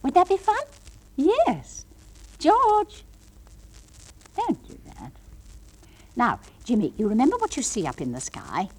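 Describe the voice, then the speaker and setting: A woman playing a patient, brightly patronising English nursery school teacher in a comic monologue, coaxing and gently scolding small children in a sing-song way. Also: sits high, huge pitch swings, slow, long pauses, husky, exaggerated voice, poor audio. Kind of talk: comic monologue, one side of classroom talk.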